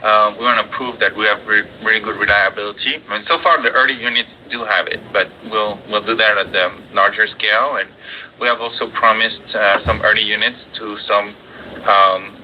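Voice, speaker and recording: A man giving unscripted executive remarks on an earnings call, pausing often as he goes. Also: lowish voice, steady tone. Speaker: company executive. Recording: dull, noisy conference call audio.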